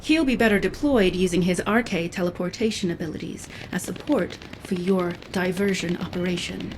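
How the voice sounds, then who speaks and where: A cool female operative, played by an actress in a game cutscene. Her voice is on the low side, assured and businesslike, over faint background noise. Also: normal speed, lightly acted. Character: composed, confident female special-forces operative. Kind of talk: scripted game cutscene acting.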